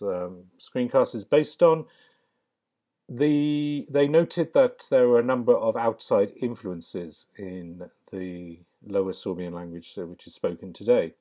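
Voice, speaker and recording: Measured academic man, low mellow voice. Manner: measured, academic, with frequent ums. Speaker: lecturer. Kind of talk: narrated slide lecture. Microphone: voice-over on slides.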